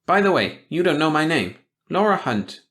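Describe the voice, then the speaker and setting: A friendly, curious teenage girl, put on by a man reading a story. Her voice sits above the reader's usual pitch and comes across as open and interested. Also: sing-song, normal speed. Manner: warm, curious.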